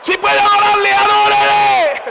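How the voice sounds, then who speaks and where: A man preaching a fervent sermon at a church service, exhorting the congregation in repeated shouted phrases. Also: extremely high pitch, moderate pace, gravelly, some chatter behind.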